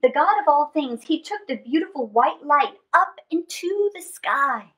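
Very lively, high-pitched woman storyteller. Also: a little slow, low-quality recording. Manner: animated, theatrical, with dramatic gasps and stretched words. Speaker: children's story time host. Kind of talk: expressive picture-book read-aloud and chat to children. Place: small indoor space with draped fabric backdrop.

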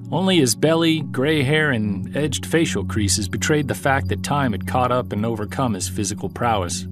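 An actor playing a wry, observant podcast investigator, narrating and interviewing in a measured, scripted style. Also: male voice, mid-range voice, lightly acted.

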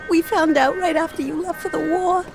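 An actress playing a young sister, soft and hesitant, in a game cutscene. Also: female voice, very high-pitched, steady tone, normal speed, a little hiss or hum. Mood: worried. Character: young sister, anxious and gentle.

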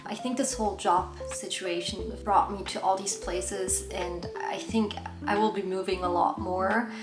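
A woman in her twenties speaks English with a German accent that has picked up some American. She chats casually like a vlogger taking questions, at an average pitch. The room is clearly echoey, and music plays underneath. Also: fairly even intonation, measured pace. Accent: German with some American influence. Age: 20s. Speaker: vlogger answering viewer questions. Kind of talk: casual Q&A chat to camera. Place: small bare indoor room. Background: background music.

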